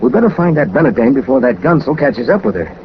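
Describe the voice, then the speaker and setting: A noisy, dull vintage radio recording of a man playing a tough American homicide lieutenant. He has a mid-pitched voice and a wry, slangy, hard-boiled way of talking from 1940s radio drama. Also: big pitch swings, fast, lightly acted. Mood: businesslike, insistent.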